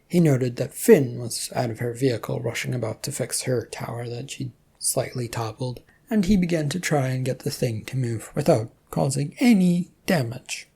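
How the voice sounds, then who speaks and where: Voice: mid-range voice, creaky, dark tone, breathy edge. A storyteller reading scripted fiction narration.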